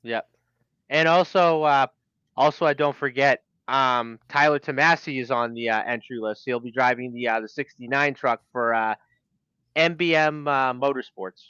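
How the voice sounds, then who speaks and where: Brief: crisp bright male co-host, stumbling with fillers, muffled lo-fi sound. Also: mid-range voice, dry recording. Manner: halting, many fillers, laughs often. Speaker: podcast co-host. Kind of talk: casual sports chat. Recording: dull, slightly lo-fi sound.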